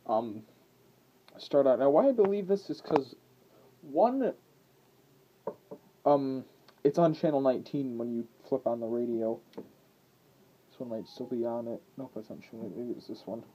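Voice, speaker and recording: Man, average pitch, hesitant amateur demo talk, indoor handheld camera sound, muffled and faintly noisy. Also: measured pace, long pauses. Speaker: amateur video maker. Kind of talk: casual, rambling product demonstration. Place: indoors, at a wooden table. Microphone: handheld camera's built-in microphone. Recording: dull lo-fi camera audio with noise and clicks.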